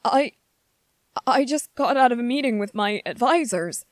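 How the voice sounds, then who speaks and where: A woman voicing a gentle, anxious young prince in a fantasy ASMR roleplay, speaking politely and formally with hesitant, halting phrasing. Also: fairly high pitch, lively intonation, no room sound, clean studio sound, close to an everyday voice. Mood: eager, courteous. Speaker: voice actor in audio roleplay. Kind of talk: ASMR character roleplay, scripted fantasy monologue.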